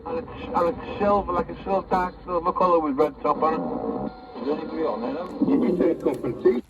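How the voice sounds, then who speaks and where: A man's voice: a little high, quick pace, recorded from a distance. A man in casual chatter, heard through a CB radio transmission. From Teesside, north-east England. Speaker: CB radio operator. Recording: muffled, very noisy CB radio reception.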